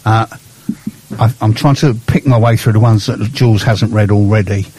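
Husky low-voiced radio host. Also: male voice, moderate pace, no room sound, rough recording. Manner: relaxed, hesitant with many fillers. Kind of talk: casual radio chat. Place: radio studio.

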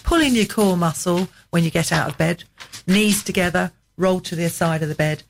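A woman's voice: on the low side, normal speed, vocal fry, slight background noise. A woman co-hosting a radio show in a studio, rambling and gossipy in casual banter. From England. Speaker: radio co-host.

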